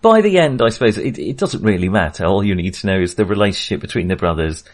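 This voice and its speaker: A man with a lowish, very mobile voice discusses films casually as a podcast co-host. His delivery is tentative and understated, with small qualifications tacked onto his opinions. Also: animated, fast.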